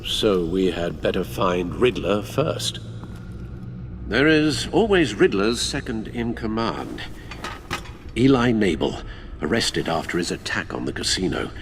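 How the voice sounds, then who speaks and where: A man's voice: lowish voice, very animated, a little slow, a little hiss or hum. A man playing an elderly, dutiful British butler in a video game, speaking formally and carefully with fatherly gravity. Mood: concerned. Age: older. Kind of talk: dramatic video game acting.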